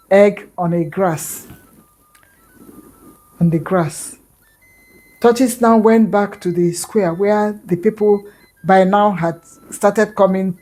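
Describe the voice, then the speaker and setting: A woman's voice: medium pitch, steady tone, takes it slowly, pauses often, dark tone, low-quality recording. A woman with a Nigerian accent narrating an oral folktale conversationally, adding small explanatory asides as a storyteller.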